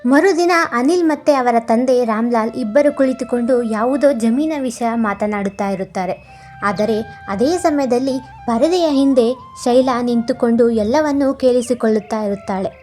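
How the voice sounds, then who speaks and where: A woman's voice: fairly high pitch, normal speed, a little mellow, soft background music. A woman storyteller reading scripted narration for a moral tale.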